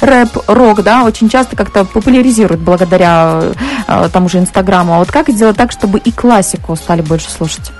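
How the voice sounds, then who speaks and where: Fast-talking woman interviewer. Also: mid-range voice, darkish tone, soft background music. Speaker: radio or TV interviewer. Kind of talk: conversational on-air interview.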